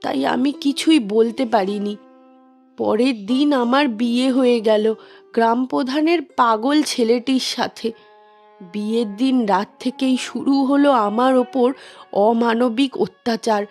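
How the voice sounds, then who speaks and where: A woman's voice: high-pitched, full character voice. A woman playing a wronged young woman's ghost, plaintively telling of her suffering in a horror cartoon. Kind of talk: dramatic storytelling in a horror cartoon.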